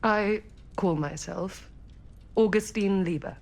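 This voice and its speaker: An actress playing a formal, measured, politely menacing hostess and collector in a cinematic video game. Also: female voice, medium pitch, creaky, darkish tone. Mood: gracious. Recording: music under the voice.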